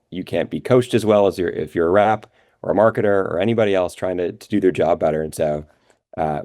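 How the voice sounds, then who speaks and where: A man's voice: sits low, a little mellow, dry recording, clean studio sound. A man answering questions as a guest in a business interview, explaining things conversationally with frequent filler phrases. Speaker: interview guest, revenue operations executive.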